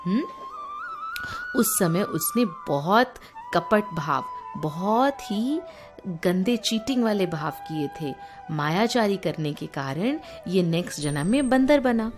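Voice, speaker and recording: An Indian woman narrates a moral tale for children, her pitch swinging in a lively way. She takes her time and explains things simply as she goes. Music plays clearly behind her voice. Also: slow. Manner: explanatory, asks the listeners questions and glosses terms. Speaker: storyteller for children. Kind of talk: animated moral storytelling for children.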